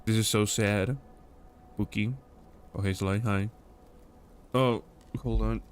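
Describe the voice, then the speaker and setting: A man's voice: lowish voice, steady tone, slow, slight background noise. Rambling, joking man hosting a stream. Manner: rambling and joking, with many fillers. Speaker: stream host. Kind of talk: casual group chat on a livestream.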